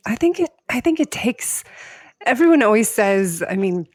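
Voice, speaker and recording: A woman's voice: on the high side, very animated, normal speed, a little mellow, no echo, clean studio sound. A woman hosting a podcast, asking thoughtful, musing questions in a conversational interview. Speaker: podcast host.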